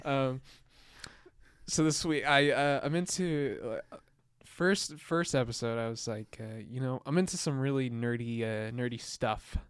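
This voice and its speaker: A man co-hosts a casual podcast, joking playfully and talking in stop-start bursts. His voice is smooth and clear. The sound is dry, with little room. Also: mid-range voice, dry recording. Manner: playful, joking, halting with fillers. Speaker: podcast co-host. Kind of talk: casual chat.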